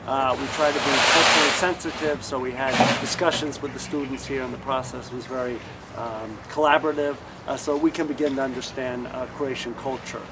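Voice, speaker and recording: A man's voice: mid-range voice, fairly even intonation, fairly quick, hardly any pauses. A man leading a landscape architecture student program, explaining it at length with many fillers. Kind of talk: on-site interview. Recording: noisy outdoor street recording.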